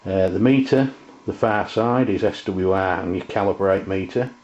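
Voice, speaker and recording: A middle-aged man giving an informal, halting, unscripted product review in a room at home. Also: deep voice, steady tone, a little mellow. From England. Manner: hesitant, halting, unscripted delivery. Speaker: presenter, radio hobbyist. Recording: muffled, roomy camera sound.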